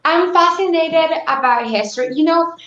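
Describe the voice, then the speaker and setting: A woman's voice: very high-pitched, moderate pace, fairly bright tone. A young woman from Bolivia answering questions conversationally as an interview guest, on roomy, muffled audio. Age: young adult. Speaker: interview guest, beauty pageant titleholder.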